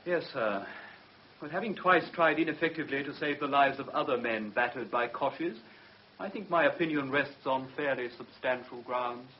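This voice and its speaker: Actor as a firm doctor, muffled recording. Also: male voice, mid-range voice, normal speed, slight background noise, lightly acted. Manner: formal, precise, assured. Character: firm, clinical male doctor testifying as witness. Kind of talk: British radio drama acting. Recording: muffled vintage radio drama recording.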